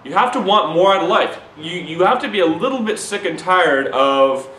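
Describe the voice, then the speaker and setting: A man giving an urgent, repetitive, preachy sales pitch straight to the camera. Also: animated, moderate pace, a bit bright, roomy sound, a little hiss or hum, poor audio. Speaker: recruiting pitch to viewers.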